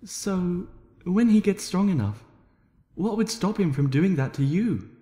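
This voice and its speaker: Guy doing a shy gentle girl pony, hesitant and soft, kinda high, echoey room, storybook reading. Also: expressive, a little slow. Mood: gentle questioning. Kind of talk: amateur fan fiction audiobook character voice. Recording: echoey room with long reverb.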